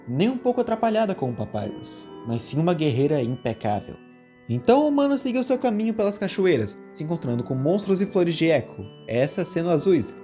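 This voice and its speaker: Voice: mid-range voice, big pitch swings, fairly quick, dark tone. A narrator reading a scripted story in a storytelling style. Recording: music behind the voice.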